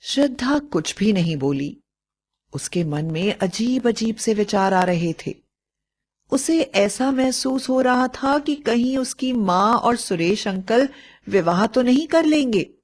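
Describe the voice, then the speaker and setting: A woman reading a scripted bedtime moral story in a measured, expressive storytelling way. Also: a little high.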